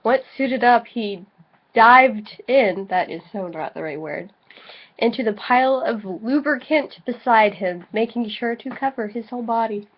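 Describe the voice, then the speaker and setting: A woman's voice: fairly high pitch, a little slow. A slightly tipsy woman bantering casually and reading aloud for comic effect, informal, profane and giggly. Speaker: co-host of a dramatic reading video. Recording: dull lo-fi home recording.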